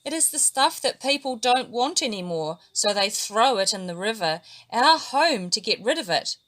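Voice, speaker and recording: A woman voicing a quick, brave female eel who leads her friends, in a storybook reading. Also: on the high side, expressive, fairly bright tone. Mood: urgent.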